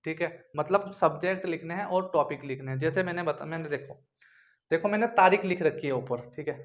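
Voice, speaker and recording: A man's voice: on the high side, a little fast. A young man giving informal study instructions to viewers, repeating himself and often adding little check-in tags. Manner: repetitive, frequently checks in with tag phrases. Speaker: exam-preparation tutor. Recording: dull lo-fi sound.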